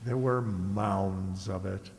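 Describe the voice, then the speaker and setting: A man's voice: low-pitched, steady tone, slow pace, gravelly, darkish tone. A man narrating a scripted children's radio story as a theatrical storyteller. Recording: reverberant hall sound.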